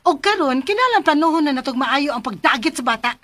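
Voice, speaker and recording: A woman's voice: high voice, expressive, fast. A woman with a Cebuano Filipino accent playing a deceitful, insistent young plotter in a radio drama. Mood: scheming, impatient. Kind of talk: Philippine radio drama acting.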